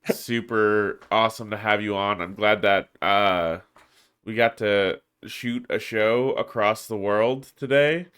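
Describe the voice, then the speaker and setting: A man's voice: lowish voice, fairly even intonation, unhurried, a bit bright, clean tone. A man with a congested voice, hosting a casual podcast in a laid-back way and laughing easily. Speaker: podcast host. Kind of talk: casual chat.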